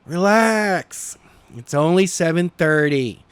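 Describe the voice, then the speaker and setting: A man's voice: fairly high pitch, lively intonation, takes it slowly, clear, resonant tone, no room sound, voice pushed up. A man reading the part of a casual, unbothered teenage boy in an audiobook story. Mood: nonchalant. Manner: nonchalant. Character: laid-back teenage boyfriend, casual and unbothered.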